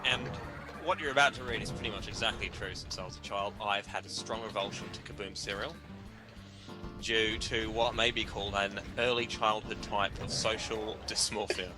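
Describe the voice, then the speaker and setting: A man's voice: on the low side, steady tone, normal speed. A man giving a theatrical, comedic dramatic reading of a humorous article to friends, prone to breaking up as he reads. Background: music.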